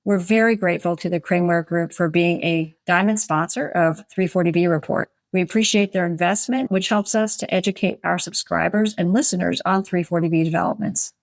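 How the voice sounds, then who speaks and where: A woman podcast host speaks in a fairly low voice, recorded close and dry. Her delivery is polished and articulate, like a prepared script for introductions and interview questions. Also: brisk, few pauses, no room sound.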